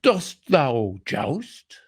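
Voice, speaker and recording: A man's voice: fairly high pitch, wildly swooping pitch, slightly rough, exaggerated voice. A man playing a pompous, inquisitive medieval king in 1940s radio comedy style, with grandiose archaic phrasing. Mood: grandly inquisitive. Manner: grandiose, drawn-out archaic speech.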